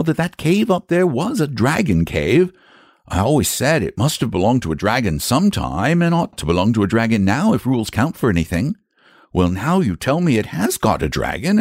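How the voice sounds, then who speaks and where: Man putting on a calm, high-voiced bookish boy. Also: no room sound, studio quality. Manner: unruffled, matter-of-fact, gently condescending. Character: calm, bookish, self-assured young country boy.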